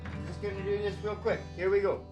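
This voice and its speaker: A man hosting a homemade radio show in casual, rambling banter, with halting, folksy asides. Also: high voice, level tone, highs cut off. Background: acoustic guitar playing.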